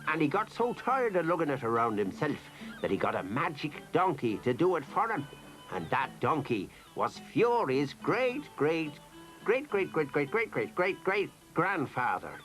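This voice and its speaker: A man's voice: a little high, sing-song, normal speed, a bit bright, faint music behind, poor audio. An actor playing a kindly, teasing old Irishman with an Irish accent who keeps a donkey and spins tall tales for children, in a children's drama. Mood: storytelling. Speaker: storyteller character.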